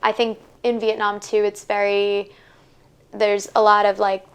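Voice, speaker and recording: Smooth-voiced young woman, chatty and casual. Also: mid-range voice, monotone, a little slow. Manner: chatty, lots of "like" and "um" fillers. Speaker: podcaster and workshop guest. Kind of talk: casual interview answers.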